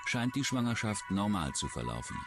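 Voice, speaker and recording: A man's voice: lowish voice, big pitch swings, moderate pace. A man reading scripted true-crime documentary narration in the role of narrator. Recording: music behind the voice. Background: loud background music.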